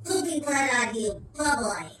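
Echoey, high-pitched eerie little girl. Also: big pitch swings, slow pace, noisy background. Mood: taunting. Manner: teasing, bold. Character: eerie little girl, like a miniature adult, sly and teasing. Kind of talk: radio drama acting.

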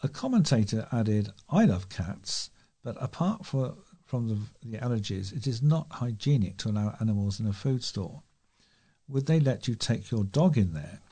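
A man's voice: fairly low pitch, very animated, moderate pace, warm tone, breathy edge. A man with a British accent reading scripted local news as a volunteer, occasionally stumbling over words. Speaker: volunteer newsreader.

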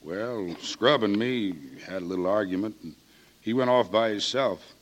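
An actor playing a defensive, evasive small-town American witness in a 1940s radio drama, talking in a guarded, colloquial way. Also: male voice, lowish voice, normal speed, natural voice.

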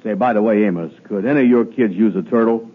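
An actor playing a slow, gullible, weary man in an old radio comedy, with a drawling, ungrammatical manner. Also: fairly low pitch, natural voice. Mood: indignant, confused. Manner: drawling, ungrammatical, muttering to himself. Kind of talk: 1950s radio comedy acting. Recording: narrow phone-like old radio recording, loud noise.

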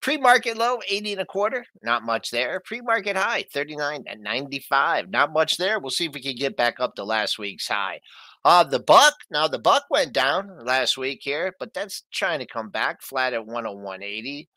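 A man hosting a markets show, reading off prices in a brisk, chatty way. His voice is bright and swings widely in pitch, and it sounds dry and close to the mic. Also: no room sound. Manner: brisk, lively delivery of price quotes. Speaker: show host. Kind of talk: market news rundown and co-host chat.

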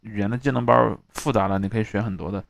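A man's voice: lowish voice, steady tone, fast talker, gravelly, no echo. A man co-hosting a podcast in casual panel chat, with a wry, conversational way of talking. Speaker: podcast host.

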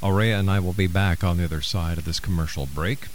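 Guy hosting a radio show, real deep voice, folksy, faint hiss. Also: fast. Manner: folksy, encouraging. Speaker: radio host. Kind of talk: radio interview.

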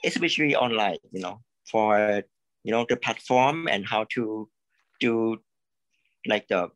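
Man, from Thailand, halting, repeats words, "you know" fillers, dry close sound. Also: lowish voice, fairly bright tone, no echo, rough recording. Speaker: coaching client, creative consultant. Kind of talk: live coaching session.

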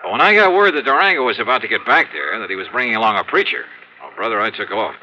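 A man's voice: fairly low pitch, animated, fast, sharp tone. An American actor playing a brash, wisecracking male insurance investigator in a 1950s radio drama, with a breezy, blunt manner. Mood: animated, confiding. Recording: muffled, faintly noisy 1950s radio recording.

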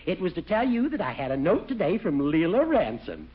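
An actor playing a genial, fussy old judge in a 1940s radio comedy, long-winded and halting as he digresses. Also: high voice, wildly swooping pitch. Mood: rambling. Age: older. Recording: dull, lo-fi 1940s radio recording.

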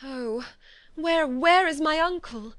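Frantic young gentlewoman, read by a woman, voice high and strained, pleading, dry sound. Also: fairly quick, dry recording, lightly acted. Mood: distraught. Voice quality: high, strained, breaking with agitation.

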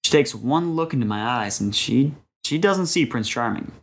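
A man's voice: mid-range voice, lively intonation, normal speed, natural voice. A man playing a jaded young Italian-American mobster who dreams of acting, telling his story as a wry dramatic monologue. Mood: regretful. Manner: wry, world-weary storytelling with wiseguy slang. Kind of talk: dramatic scripted first-person monologue.

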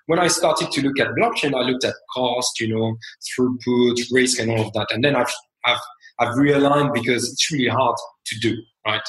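A man's voice: medium pitch, a bit bright. French-accented guy, forties, thoughtful, lots of ums, echoey room. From France. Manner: measured, reflective, many fillers and restarts. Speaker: fintech founder guest. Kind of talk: interview conversation.

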